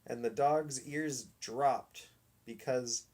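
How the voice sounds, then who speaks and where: A man reading a children's story aloud as an expressive storyteller. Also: medium pitch, drawn-out words.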